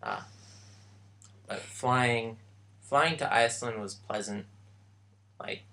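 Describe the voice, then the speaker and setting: A young man recounting his trip in a casual vlog, slow and halting with many pauses. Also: mid-range voice, gravelly, pushed and bright. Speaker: vlogger. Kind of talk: casual travel vlog chat.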